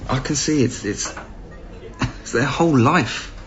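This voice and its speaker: An actor playing a patient, conscientious young council officer, gentle and hesitant, in a naturalistic radio drama. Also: male voice, medium pitch, unhurried, muffled recording, lightly acted. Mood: earnest, conflicted.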